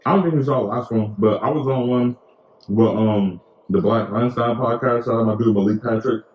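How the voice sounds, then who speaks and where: A man's voice: medium pitch, fairly quick, echoey room, lo-fi sound. A young man co-hosting a podcast in casual, rambling chat full of slang and fillers. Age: young adult. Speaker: podcast co-host.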